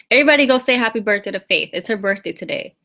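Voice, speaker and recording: A woman's voice: medium pitch, lively intonation, brisk, sharp tone. An American woman hosting a casual live fitness Q&A, chatting and laughing as she greets viewers by name. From United States. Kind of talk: casual live-stream chat.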